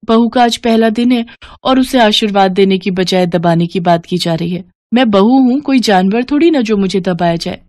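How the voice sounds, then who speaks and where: A woman's voice: mid-range voice, moderate pace, a little mellow, no echo, natural voice. A woman voicing a naive, sweet young new bride, polite and earnest, in a cartoon story. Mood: dismayed. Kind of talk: Hindi cartoon story voice acting.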